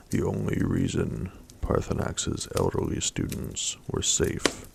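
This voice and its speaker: A man reading a story aloud as soft-spoken ASMR, in a breathy, hushed voice that often drops to a whisper. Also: rumbling low, a little hiss or hum.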